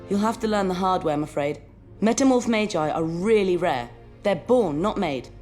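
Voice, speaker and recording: An actress playing a cheeky, upbeat young woman who hunts dark wizards in a video game, with a British accent. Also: fairly low pitch, lively intonation, moderate pace, faint music behind, natural voice. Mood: cheerful, teasing. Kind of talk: video game cutscene acting.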